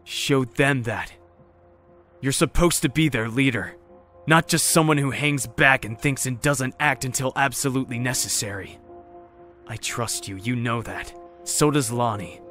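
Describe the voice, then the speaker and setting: A bitter but loyal apocalypse survivor played by a man, with a medium-pitched voice. He is blunt, curt and tired of it all, with faint music behind him in a dramatic fan production. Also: a little slow, a bit bright, lightly acted. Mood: blunt, frustrated. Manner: curt, weary, sardonic. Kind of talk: dramatic audio visual-novel fan drama.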